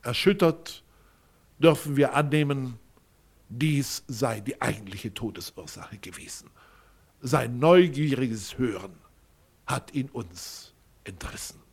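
Man playing a slow, solemn funeral eulogist. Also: mid-range voice, lively intonation, vocal fry, breathy edge, full character voice. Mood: grave, solemn. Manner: grave, elevated, deliberate phrasing. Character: solemn, pompous male eulogist musing on the dead. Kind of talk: solemn scripted funeral eulogy in an audio drama.

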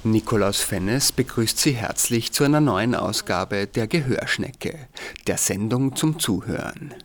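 A man with an Austrian accent, hosting a radio programme and reading scripted narration. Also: medium pitch. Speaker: radio show host.

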